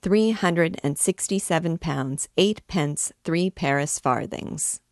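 A woman voicing a dutiful male clerk reading out costs in a measured, listing manner. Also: on the high side, no room sound, clean studio sound.

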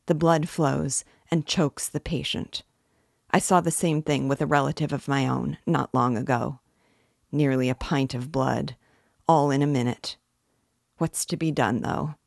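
A woman as an officious low-voiced male official, creaky. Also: fairly even intonation, unhurried, darkish tone, no room sound, clean studio sound, heavily acted. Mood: grim muttering. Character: officious male government official, firm and matter-of-fact. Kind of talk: dramatic audiobook character reading.

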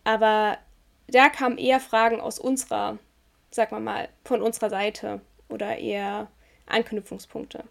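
Woman with a gravelly, creaky voice. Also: a little high, little pitch movement. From Germany. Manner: thoughtful, measured, searching for words. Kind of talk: reflective conversational chat.

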